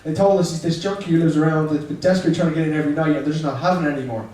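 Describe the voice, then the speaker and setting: A young man with a Dublin accent doing stand-up in a small bar, chatty and self-mocking as he teases the crowd. His voice sits fairly high for a man. It comes from a distance and rings around a very echoey room. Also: steady tone, rapid-fire, dark tone. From Ireland. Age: young adult. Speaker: stand-up comedian. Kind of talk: stand-up comedy set. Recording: echoey room, mic far off.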